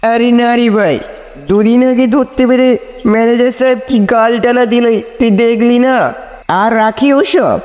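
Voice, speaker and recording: A man's voice: very high-pitched, fairly even intonation, normal speed, a little mellow. A man voicing a chattering, proud village boatman in a cartoon ghost story, speaking in rustic colloquial style. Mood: scolding banter. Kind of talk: cartoon horror story dialogue. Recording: long reverb, echoing space.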